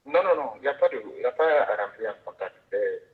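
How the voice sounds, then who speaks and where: A man with a West African French accent speaks haltingly on a private phone call, searching for words. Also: mid-range voice, animated, over a noisy crowd. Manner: halting, searches for words, vague coded wording. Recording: tinny narrow-band phone line.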